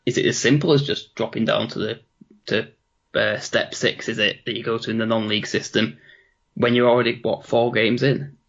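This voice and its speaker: A slightly hoarse man co-hosting a football podcast, chatty and reflective and often restarting his sentences. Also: on the low side, fairly even intonation, normal speed, fairly bright tone, lo-fi sound. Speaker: podcast co-host. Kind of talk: casual football podcast chat.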